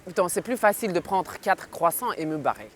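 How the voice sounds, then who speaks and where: Animated Ukrainian woman, faint background hiss. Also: fairly low pitch, fairly quick. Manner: animated, mocking, laughs often. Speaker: interviewee. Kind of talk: documentary interview.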